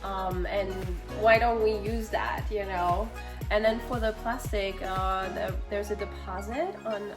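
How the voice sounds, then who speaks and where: A woman's voice: medium pitch, steady tone, unhurried, over music. A woman in her thirties from Germany, with a German accent, talking casually as an interview guest and now and then searching for words. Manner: chatty, laughs often, searches for words. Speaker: guest, nonprofit founder.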